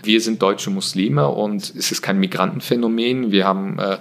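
A man from Germany answering interview questions about his civic work in an earnest, measured way, pausing often with hesitations. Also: fairly low pitch, steady tone, creaky.

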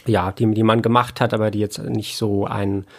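Gravelly, hesitant man in an interview. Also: on the low side, little pitch movement, brisk. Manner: hesitant, searching for words. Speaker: interview guest, audio drama producer and author. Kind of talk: casual interview answers.

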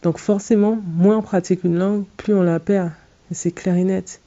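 A woman's voice: medium pitch, fairly even intonation, moderate pace, warm tone, highs cut off. A woman whose first language is English, hosting a solo podcast and giving direct, earnest advice with frequent fillers and restarts. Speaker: podcast host and English coach.

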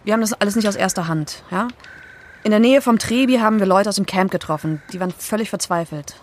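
A woman's voice: medium pitch, fast, lightly acted. Audio drama role: sensible young woman activist, played by an actress, mid register, earnest explaining, slight noise behind. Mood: earnest, grave. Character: level-headed young female activist, calming friend. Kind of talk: naturalistic German audio drama dialogue.

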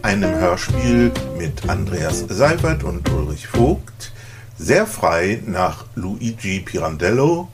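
Male comedy podcast host, very animated intro, long reverb, over loud guitar music. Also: low voice, slow pace. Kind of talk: scripted announcement.

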